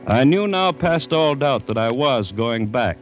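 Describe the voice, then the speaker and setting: An actor playing a grave, fascinated district commissioner, narrating with deliberate, brooding drama in an old-time radio play. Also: male voice, mid-range voice, animated, close to an everyday voice. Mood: tense, fatalistic. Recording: dull vintage radio recording with music.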